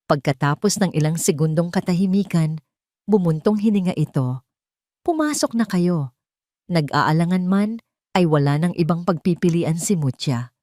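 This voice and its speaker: Low-voiced woman narrating drama. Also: moderate pace, no room sound, studio quality. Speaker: storyteller. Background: soft music.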